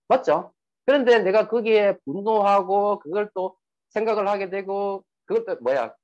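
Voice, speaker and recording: A man's voice: high-pitched, darkish tone. A man giving a lecture, asking the audience rhetorical questions and acting out quoted dialogue. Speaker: speaker giving a talk on sales and emotions. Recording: dull lo-fi recording.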